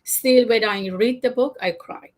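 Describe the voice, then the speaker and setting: A woman's voice: a little high, measured pace, darkish tone. A middle-aged woman with a Persian accent, a native Farsi speaker, talking candidly as a guest author. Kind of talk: candid personal conversation.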